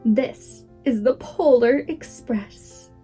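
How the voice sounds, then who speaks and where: Woman reading as a slow, declamatory train conductor. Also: a little high, mellow, husky, faint music behind. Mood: inviting. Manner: calling out, declamatory. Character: male train conductor, commanding and inviting. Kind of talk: storybook read-aloud character.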